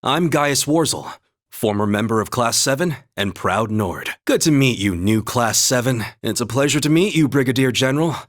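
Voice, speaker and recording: A man voicing an earnest, calm young male warrior in a video game, speaking in a measured, sincere and formal way. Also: medium pitch, natural voice. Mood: sincere, reassuring. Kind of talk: video game voice acting, battle calls and dialogue.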